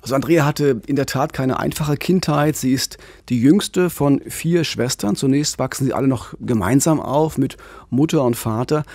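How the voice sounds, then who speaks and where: A middle-aged man with a gravelly, husky voice tells a crime case in an earnest, engaged way. He speaks into a large studio microphone in a radio booth. The sound is close and dry. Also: medium pitch, a little mellow, dry recording. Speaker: co-host, crime reporter. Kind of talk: conversational true-crime podcast storytelling. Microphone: large studio mic on a boom, headphones.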